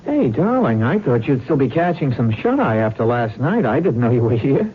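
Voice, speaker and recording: A man's voice: mid-range voice, very animated, moderate pace, close to an everyday voice. An actor playing an easygoing, affectionate small-town man in a casual, folksy old-time radio drama style. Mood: affectionate, teasing. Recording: muffled, slightly noisy radio recording.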